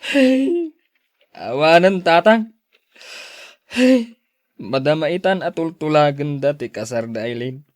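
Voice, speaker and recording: An actor as a heartbroken, pleading Filipino man, swooping pitch. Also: expressive, takes it slowly, pauses often, dry recording, clean studio sound. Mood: despairing. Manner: pleading, emotional. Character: heartbroken lovesick man drowning his sorrow in drink. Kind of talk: Filipino radio drama acting.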